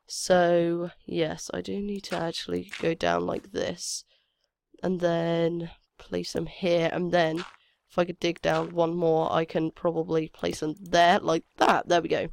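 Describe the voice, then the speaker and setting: A woman's voice: on the low side, little pitch movement, a little slow, gravelly, a little mellow, slight background noise. A woman with a cold, her voice sniffly and cracking, chatting hesitantly and thinking aloud as she commentates her own game. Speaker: gameplay commentator.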